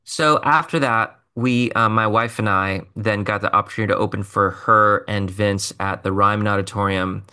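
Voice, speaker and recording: A man with a lowish voice and a crisp, bright edge to it. He talks like a musician guest in a relaxed interview, rambling through a story with fillers and a self-mocking humor. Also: moderate pace, hardly any pauses. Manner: chatty, self-deprecating, loose with fillers.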